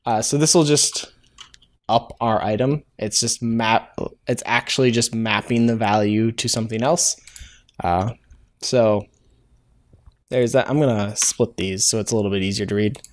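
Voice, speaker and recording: A man's voice: on the low side, fairly even intonation, normal speed, slight background noise. A man hosting a programming tutorial, thinking aloud as he codes, with frequent "uh" fillers and self-corrections. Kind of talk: casual live-coding walkthrough. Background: keyboard typing and clicks.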